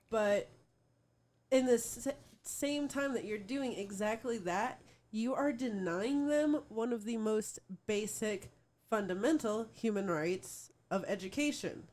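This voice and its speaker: A woman's voice: fairly high pitch, darkish tone. A woman from the American Midwest co-hosting a live-streamed talk show, ranting emphatically and repeating her points. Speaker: co-host. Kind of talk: casual opinionated chat.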